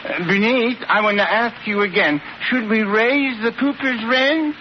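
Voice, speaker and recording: An actor playing a fussy, gullible older widowed landlord in an old radio sitcom, with exaggerated character delivery. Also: male voice, high-pitched, a little slow. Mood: suspicious. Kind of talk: 1940s-50s radio sitcom acting. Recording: muffled, hissy old radio recording.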